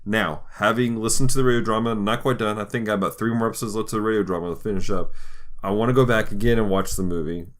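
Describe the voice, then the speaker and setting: A man hosting a podcast in casual chat, enthusiastic, often halting as he searches for his words. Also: fairly low pitch, steady tone. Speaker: podcast host.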